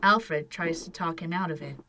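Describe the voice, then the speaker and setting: A woman's voice: low voice, moderate pace, noisy background. A woman narrating an audio drama from a script as its storyteller.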